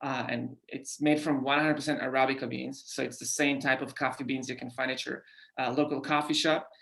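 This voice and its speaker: This is a man with an Israeli accent, speaking as a guest on a podcast. He explains things steadily, in a gravelly voice at middle pitch, pausing often on "uh" and repeating words. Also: flat delivery, fairly bright tone. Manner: halting, frequent "uh" fillers and repeated words. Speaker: guest founder. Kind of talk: podcast interview.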